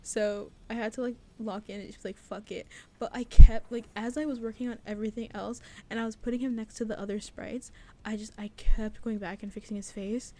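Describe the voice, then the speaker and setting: A woman's voice: a little high, fairly even intonation, brisk, mellow, slight background noise. A young woman student vlogging casually, rambling with many fillers and restarts. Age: young adult. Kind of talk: casual devlog chat.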